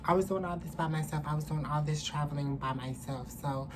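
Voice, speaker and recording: A young woman with a low voice talks casually to the camera as a vlogger. She tells her story candidly, with slangy, salty language. She is in a small room, and there is faint noise behind her. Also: brisk. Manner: candid, animated, profane slangy talk. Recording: clearly noisy room ambience.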